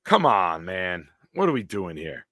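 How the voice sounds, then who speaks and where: A man in his forties hosting a live-stream show, reading viewer comments aloud and bantering bluntly with a smooth, deep voice. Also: big pitch swings, unhurried, bright, forceful tone, no echo, clean studio sound. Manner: banters with chat, blunt and profane.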